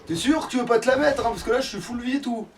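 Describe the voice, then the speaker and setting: A man's voice: very high voice, very fast. A man chatting casually as he plays a game, grumbling and swearing as a let's player. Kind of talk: casual gaming commentary. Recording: distant mic, loud background noise.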